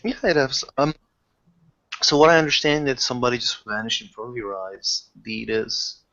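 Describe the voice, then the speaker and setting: A man's voice: medium pitch, brisk, pushed and bright. A man in a casual tabletop roleplaying session, speaking in fragments and often rephrasing mid-sentence. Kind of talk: improvised casual roleplay chat. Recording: dull lo-fi voice recording with clicks.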